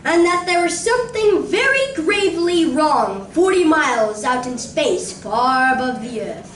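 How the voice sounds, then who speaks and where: Female narrator, acted live, grave and suspenseful radio play style, high voice, unhurried, echoing room. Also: expressive, fairly bright tone, recorded from a distance. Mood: hushed suspense. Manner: theatrical, suspenseful, with drawn-out dramatic pauses. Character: grave, awestruck female narrator of a science fiction story. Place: community room of a library, live performance. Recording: roomy live recording from afar, faint noise.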